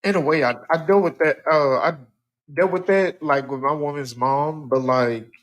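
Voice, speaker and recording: A man's voice: on the high side, normal speed. Laid-back man moderator who stammers. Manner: laid-back, stammers, keeps steering back to topic. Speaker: panel moderator. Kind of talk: live-stream panel chat.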